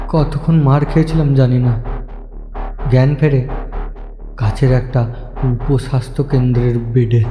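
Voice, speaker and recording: A man playing a poor, heartbroken young man who narrates his tragedy in an audio story, halting and repeating phrases as he confides. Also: mid-range voice, warm tone, natural voice. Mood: sorrowful. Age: young adult. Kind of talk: dramatic first-person audio story narration. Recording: echoey, long reverb over music.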